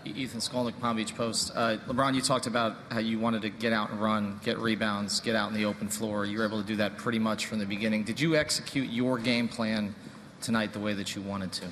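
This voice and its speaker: A man working as a newspaper reporter, asking a measured question at a press conference. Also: lowish voice, fairly even intonation, fairly bright tone, long reverb tail, slight background noise.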